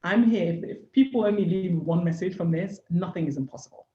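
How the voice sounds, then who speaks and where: A woman's voice: on the low side, a little fast, warm tone, a little hiss or hum. A woman in her forties from Nigeria, a business founder, candidly telling her life story as a podcast guest. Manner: earnest, candid storyteller with repeated "literally". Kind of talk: personal story in a podcast interview. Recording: echoey room, dull lo-fi sound.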